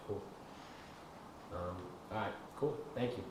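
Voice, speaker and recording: A man's voice: lowish voice, slow, noisy background. A man leading a group share-out, easygoing and asking short questions. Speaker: group facilitator. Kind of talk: casual group chat. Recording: echoey room.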